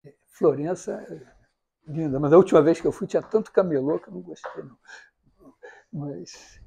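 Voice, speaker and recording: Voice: mid-range voice, moderate pace, vocal fry, warm tone, breathy edge. A university mathematics lecturer with a Brazilian accent gives an informal, storytelling lecture in a rambling, digressive, self-correcting way.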